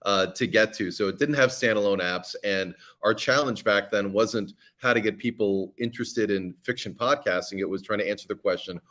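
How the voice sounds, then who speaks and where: A man's voice: on the low side, normal speed, few pauses, a bit bright. A man, a podcast guest, talking in a casual interview with many fillers and restarts.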